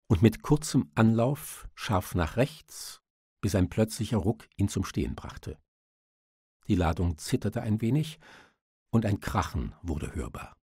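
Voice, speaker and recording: Low, husky male audiobook narrator. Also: a little mellow, no room sound, studio quality. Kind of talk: dramatic scripted literary narration.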